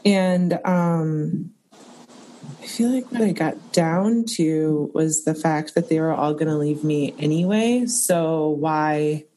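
Slow halting woman on a noisy call. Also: sits low, mellow. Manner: halting, searching for words. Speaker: participant sharing in a group session. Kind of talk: hesitant personal sharing. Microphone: unstable call connection.

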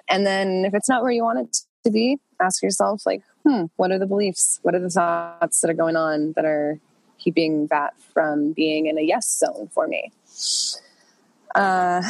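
A woman's voice: on the low side, no room sound, rough recording. A woman coaching an online group in a chatty, loose way, congested from a cold. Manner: chatty, loose, many fillers and restarts. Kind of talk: casual coaching talk.

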